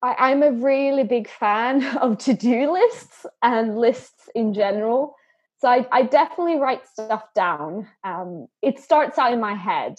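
A woman's voice: a little high, animated, measured pace, a little mellow, husky, highs cut off. A woman guest in a podcast interview, talking earnestly and often repeating words as she starts a thought. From Sweden and England. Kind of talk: conversational interview.

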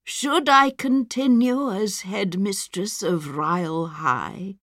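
A man's voice: high voice, slow pace, bright, forceful tone, no echo, clean studio sound, voice pushed up, full character voice. A man voicing a sweet, muddled 99-year-old headmistress in a sing-song, rambling manner for a storybook reading. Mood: doddery, stately. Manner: rambling, forgetful. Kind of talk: storybook character voice.

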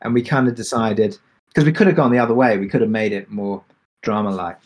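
A man speaking reflectively as a creator on a Q&A panel, pausing and repeating words as he works through his thoughts. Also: on the low side, a little mellow. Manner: thoughtful, halting, repeats words mid-sentence. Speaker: podcast creator and executive producer on a panel.

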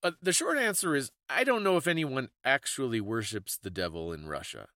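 A man hosts a podcast in an easygoing, explanatory style. His voice sits at an average male pitch and is recorded dry, with very little room sound. He talks through his points thoughtfully, as in a conversation. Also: sing-song, fairly quick, bright, forceful tone, no room sound. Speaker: podcast host.

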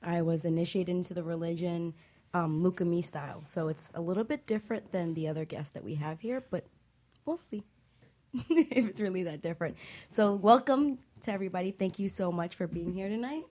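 A woman's voice: lowish voice, a little mellow. A woman hosting a casual panel discussion, with a playful, easygoing manner. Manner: playful, quick to laugh. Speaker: talk show host.

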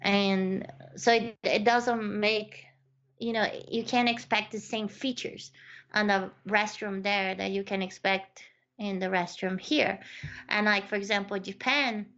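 A woman's voice: medium pitch, steady tone, a little slow, fairly bright tone, muffled recording. A woman in casual conversation, talking in a chatty way with many fillers and restarts as she tells stories about her work. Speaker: accessibility researcher and guest.

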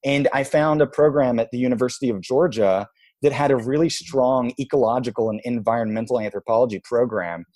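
A man in his thirties from Israel, a guest telling his life story in a relaxed, reflective way, with many "like"s and "you know"s. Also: medium pitch, brisk. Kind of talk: casual storytelling interview.

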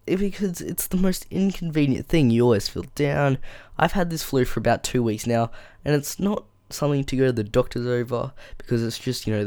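Aussie guy with a croaky flu voice, rambly solo podcast, lots of ums, smooth clean mic, dead dry room. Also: medium pitch, warm tone, no echo. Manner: rambling, many ums, frustrated rants. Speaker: podcast host. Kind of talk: casual solo tech podcast. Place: small room with soundproof foam. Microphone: boom-arm studio microphone.

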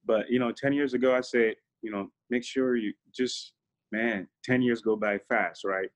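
A man in his thirties, a guest in a casual interview, speaking in a relaxed, reflective way with many fillers. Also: mid-range voice, normal speed.

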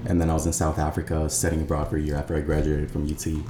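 A man's voice: a bass voice, monotone, very fast. A young man, a native Spanish speaker, giving earnest, explanatory answers as an interview guest. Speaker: interview guest, Peace Corps volunteer. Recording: echoey room with clear background noise.

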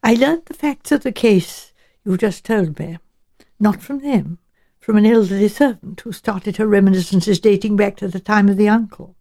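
Woman acting a genteel, shrewd elderly English spinster sleuth, mellow. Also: medium pitch, normal speed, lightly acted. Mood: grave, thoughtful. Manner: musing, hedging, politely chatty.

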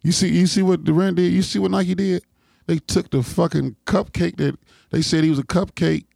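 Gravelly, creaky-voiced American man, profane banter. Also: sits high, steady tone, normal speed, darkish tone, no room sound, clean studio sound. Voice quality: gravelly, husky. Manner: profane, blunt, street slang. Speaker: podcast host. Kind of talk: casual podcast banter.

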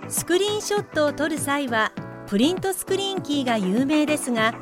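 A woman's voice: high voice, sing-song, brisk, over music. A woman narrator reading scripted explanatory narration in a tidy instructional way.

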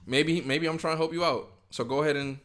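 A man's voice: on the high side, steady tone, fast, a bit bright. A man chatting casually about music and movies in a laid-back, slangy, agreeable way.

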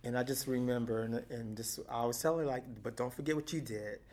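A middle-aged woman from Texas with a deep, raspy voice, talking and laughing her way through memories in an oral history interview. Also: fast. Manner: lively, talkative, laughs often. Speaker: activist interviewee.

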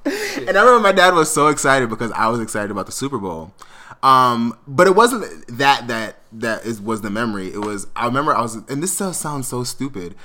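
A man's voice: mid-range voice, fairly quick. A man of Jamaican family telling stories in a casual podcast chat, laughing often as he talks. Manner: animated, laughs often mid-sentence.

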